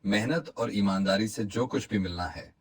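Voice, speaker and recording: A man playing an honest, hopeful woodcutter and family man in a cartoon moral story, speaking in an earnest, reassuring, measured way. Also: fairly low pitch, husky. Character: honest, hopeful, hardworking poor woodcutter, husband and father.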